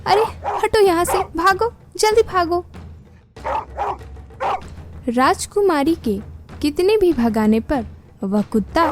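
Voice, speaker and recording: A woman reading scripted storybook narration as the narrator. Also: on the high side. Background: music.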